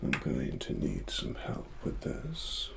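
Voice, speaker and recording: British male, soft-spoken cyberpunk ASMR roleplay as a secretive investigator. Very slow, half-whispered delivery with gravelly fry. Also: rumbling low, wildly swooping pitch, very noisy. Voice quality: soft, breathy, often near whisper. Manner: slow, deliberate, hushed. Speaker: ASMR performer.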